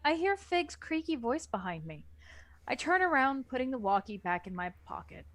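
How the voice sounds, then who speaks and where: Casting: female narrator, slightly high voice, dry close recording, reading a scripted first-person tale. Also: big pitch swings, moderate pace, no room sound.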